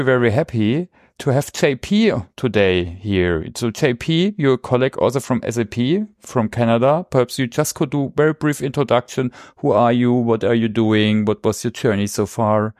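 A man's voice: mid-range voice, darkish tone, no room sound, studio quality. A man with a German accent hosting a podcast interview in a conversational style. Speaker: podcast host.

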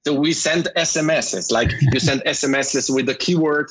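A man's voice: fairly high pitch, normal speed, breathy edge, dull audio. A man from Slovenia being interviewed, talking through his career with many fillers and restarts. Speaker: guest, telecom industry manager. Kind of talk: conversational interview.

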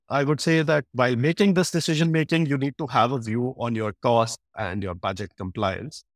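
A man with a mid-pitched voice gives expert interview answers. He explains step by step in a structured, methodical manner, and the sound is dry with very little room. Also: no room sound. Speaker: guest expert, supply chain practice lead.

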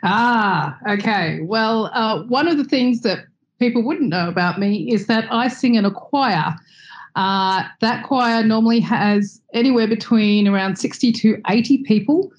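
A woman's voice: on the high side, a little slow, muffled recording. A woman, a guest on a podcast, answering in a friendly, chatty way with many ums. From Australia. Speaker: guest expert.

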